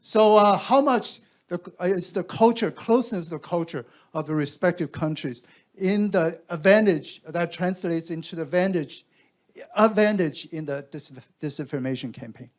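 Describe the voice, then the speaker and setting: A man from Taiwan speaks up from the audience with a long question. His voice is somewhat high. He rambles and circles back, repeating words and restarting phrases. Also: moderate pace. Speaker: audience member asking a question.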